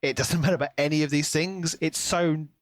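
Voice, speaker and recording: A man's voice: fairly high pitch, fairly even intonation, brisk, no echo. Man chatting casually, close dry sound. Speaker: podcast co-host. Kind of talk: casual book discussion.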